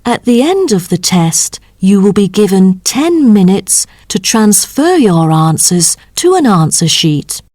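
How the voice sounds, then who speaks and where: A woman reading scripted listening test instructions as an announcer, in a measured, formal, instructional manner. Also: mid-range voice, sing-song, a little mellow, dry recording, clean studio sound. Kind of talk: scripted exam narration.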